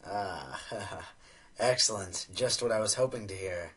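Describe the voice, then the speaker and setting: An actor playing an excitable, self-important pony inventor who fancies being a spy, in a radio play with a theatrical delivery. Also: deep voice, slow pace. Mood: eager. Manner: theatrical, hesitant fillers amid boasting. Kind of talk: amateur radio play acting.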